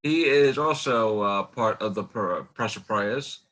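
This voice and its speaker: A man hosting a casual interview, talking in a halting way and often rephrasing himself. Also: on the low side, fairly bright tone, poor audio. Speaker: host interviewer.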